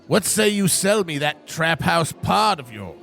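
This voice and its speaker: A man's voice: fairly high pitch, big pitch swings, a little slow, creaky, fairly bright tone, soft background music, exaggerated voice. A man playing a gloating old villainous tycoon in a parody radio play, pompous and scheming in old-fashioned speech. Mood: smug, wheedling.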